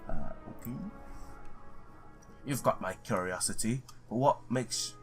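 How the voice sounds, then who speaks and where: A man's voice: lowish voice, lively intonation, unhurried, soft background music. A man giving informal let's play commentary on a game, with joking asides. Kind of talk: gaming commentary and reading visual novel text aloud.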